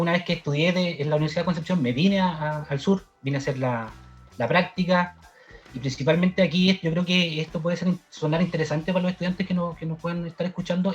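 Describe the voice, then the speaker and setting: A Chilean man from the south, a sociologist, answering interview questions in a halting way with many restarts. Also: fairly high pitch, highs cut off. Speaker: sociologist guest.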